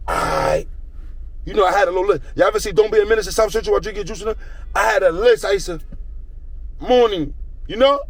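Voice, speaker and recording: A man's voice: sits high, low-quality recording. A young man telling a rambling street story in slang, circling back to a repeated catchphrase.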